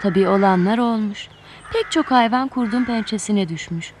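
A woman telling a children's fairy tale in expressive, scripted narration. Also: mid-range voice, normal speed, a little hiss or hum. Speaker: children's storyteller.

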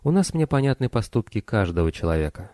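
A frank, curious young woman who pilots starships, read by a male narrator. She speaks in an earnest, matter-of-fact way, with a soft dark tone in a dry audiobook recording. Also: lowish voice, big pitch swings, fast, no echo. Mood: curious, warm.

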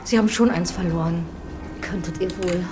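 An actress playing a dutiful, concerned housekeeper who speaks soberly and respectfully in a German radio drama. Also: female voice, on the low side, moderate pace, background noise, lightly acted.

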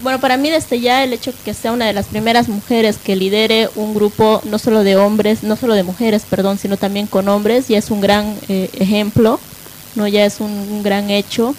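A woman presenting on a live radio talk show, halting and often adding tag questions to seek agreement. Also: mid-range voice. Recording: noisy live radio with steady hiss.

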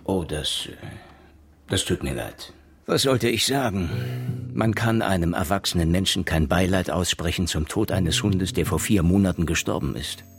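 A man's voice: low-pitched, animated, moderate pace, soft background music. An actor in a radio crime drama playing a reserved, polite and somewhat hesitant male police inspector who recounts his case. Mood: awkward, polite. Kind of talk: radio crime drama, dialogue and first-person narration.

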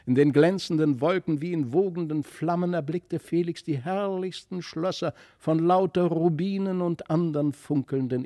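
A man giving an expressive, scripted narration of a literary fairy tale. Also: on the high side, creaky, no room sound, studio quality. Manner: expressive storytelling. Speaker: audiobook narrator.

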